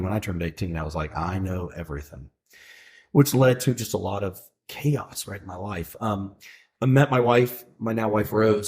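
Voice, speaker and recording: 40s guy rambling thru his story, kinda low voice, lots of um and you know. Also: a little mellow. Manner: rambling, many fillers and restarts. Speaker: guest, food truck owner. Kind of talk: casual interview talk.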